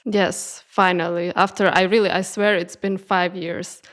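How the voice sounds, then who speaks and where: A woman's voice: fairly low pitch, level tone, unhurried. A young adult woman from Croatia, co-hosting a podcast in casual conversation. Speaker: podcast co-host.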